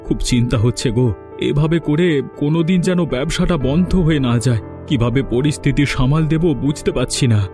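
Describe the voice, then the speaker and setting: A man as a worried, higher-voiced son. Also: a little fast, music in the background, natural voice. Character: anxious grown son, partner in a village eatery. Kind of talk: cartoon story voice acting.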